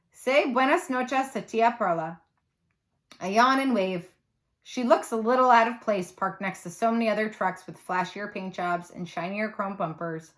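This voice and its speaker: A woman narrating a children's novel aloud like a teacher, with expressive storytelling. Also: medium pitch.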